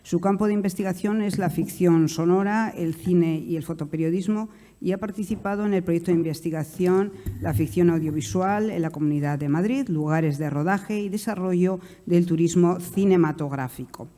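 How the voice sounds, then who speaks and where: A woman moderating a conference panel and introducing a speaker in a halting, hesitant way. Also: fairly low pitch, mellow.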